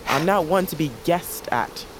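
A woman's voice: on the low side, lively intonation, a little slow, background noise. An actress playing a proud, guarded assassin in fantasy audio fiction, curt and defiant in her delivery. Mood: defensive. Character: guarded, sharp-tongued female assassin, proud and commanding. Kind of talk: dramatic audio fiction acting.

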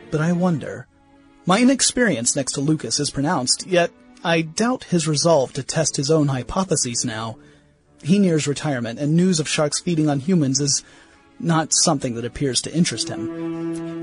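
A man playing an earnest young museum scientist of 1916, reading journal entries in a radio play in formal, clipped phrasing. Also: fairly high pitch. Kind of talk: scripted diary narration in a radio play.

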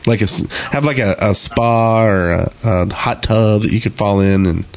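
A man's voice: fairly low pitch, normal speed, a little hiss or hum. A man co-hosting a gaming podcast in casual, informal chat with a joking manner. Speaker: podcast co-host.

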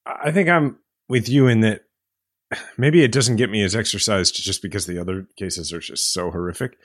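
A low-voiced man from the middle of the country chats as a podcast co-host, thinking aloud with soft hesitations and fillers. The recording is close and dry with no room sound. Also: normal speed, dry recording. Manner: reflective, hesitant, many fillers. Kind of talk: casual discussion.

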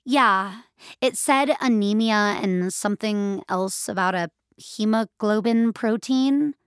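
A woman playing a friendly, practical nurse who talks in a halting, reassuring way in an audio drama. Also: medium pitch, smooth, dry recording, clean studio sound, natural voice. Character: Chelsea, copper-haired nurse, friendly, hesitant, practical app devotee.

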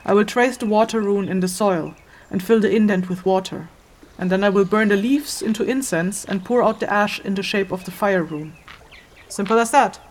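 A woman playing a stern, exacting female spirit ritualist in a fantasy audio drama, with a measured, instructive, commanding manner. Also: mid-range voice, close to an everyday voice.

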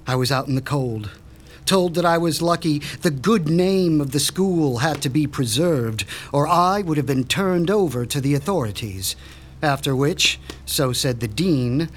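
A man playing an obsessive mob doctor and mad scientist, speaking in a measured, theatrical way. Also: fairly high pitch, a little hiss or hum, lightly acted. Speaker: doctor character. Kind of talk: audio drama acting.

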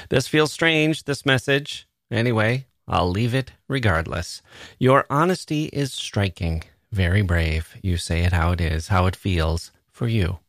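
A man's voice: lowish voice, fairly bright tone, no echo, clean studio sound. A man hosting a podcast, speaking to listeners in an earnest, musing way and repeating words for emphasis. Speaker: podcast host. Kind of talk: reflective monologue to listeners.

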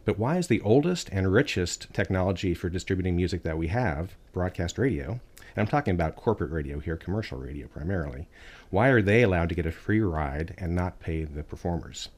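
A man's voice: deep voice, lively intonation, fairly quick, darkish tone. A man appearing as an interview guest who argues earnestly and emphatically for artists' rights. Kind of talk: interview answers.

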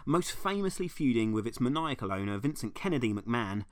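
A man hosting a comedy podcast, reading a scripted segment in a wry, deadpan, sarcastic way. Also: medium pitch, brisk, no room sound, studio quality. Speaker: podcast host.

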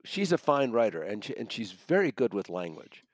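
An American man gives an unscripted book review in a medium-pitched voice. He halts and repeats words while he finds his phrasing. The sound is dry, with little room to it. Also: very animated, moderate pace, no room sound. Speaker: book reviewer, regular guest.

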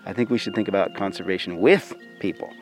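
A man with a lowish voice explains his work as a researcher in a conversational interview. Music plays clearly behind him. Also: fast. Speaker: wildlife researcher.

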